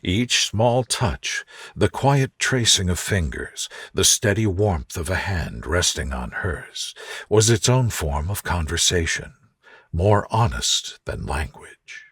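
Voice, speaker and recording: Slow, husky man reading a sleep story. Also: sits low, very animated, no room sound, studio quality. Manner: soft, gentle, unhurried. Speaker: narrator, reader. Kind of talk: soothing sleep-story narration.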